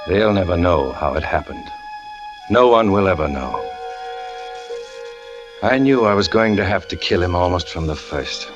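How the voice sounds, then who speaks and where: A jealous, brooding trapeze artist, very deep-voiced and confessing in a low, tight murmur. The sound is a dull old radio broadcast with a dramatic music bed underneath. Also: male voice, animated, normal speed, pauses often. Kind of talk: 1940s radio acting.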